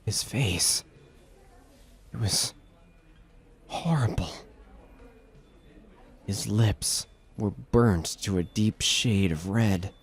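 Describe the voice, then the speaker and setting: A man's voice: fairly low pitch, extremely slow, long pauses, husky. A man giving scripted narration of a horror story as the narrator of an audio drama. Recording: music bed under narration.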